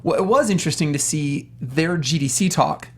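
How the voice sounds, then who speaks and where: A man co-hosting a casual panel discussion, speaking in an animated, emphatic and self-deprecating way. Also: fairly high pitch, normal speed, husky. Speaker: talk show host.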